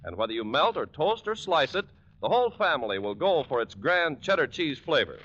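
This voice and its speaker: Bright, animated vintage male radio announcer. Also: a little high, a little fast. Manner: polished, salesmanlike delivery. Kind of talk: 1940s scripted radio advertisement. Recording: muffled 1940s radio broadcast recording.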